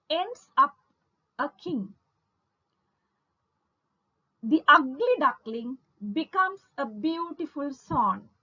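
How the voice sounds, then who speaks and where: A woman giving a scripted literature lesson as a lecturer, in a deliberate, emphatic, instructional manner, on a lo-fi recording. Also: high-pitched, fairly bright tone. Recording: dull lo-fi audio.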